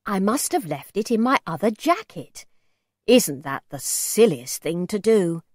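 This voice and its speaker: A woman voicing a gentle, pleading, very old gentleman in a storybook, well-spoken and old-fashioned in his courtesy. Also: medium pitch, big pitch swings, unhurried, no room sound, studio quality. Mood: flustered. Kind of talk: storybook character voice.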